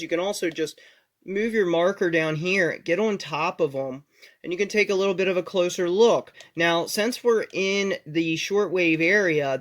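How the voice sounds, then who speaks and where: A man's voice: fairly high pitch, fairly bright tone. A man presenting a casual, step-by-step tech tutorial, explaining things directly to the viewer.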